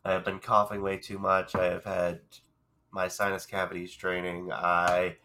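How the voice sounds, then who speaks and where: A man co-hosting a podcast, congested and hoarse from a cold, rambling in casual chat with frequent restarts. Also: sits low, completely flat delivery, moderate pace, pushed and bright. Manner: rambling, self-deprecating, restarts often. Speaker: podcast co-host.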